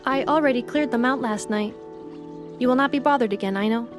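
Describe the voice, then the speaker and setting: A woman voicing a calm, logical robot caretaker in a video game, with formal, matter-of-fact phrasing. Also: a little high, fairly quick, close to an everyday voice. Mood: protective. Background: music.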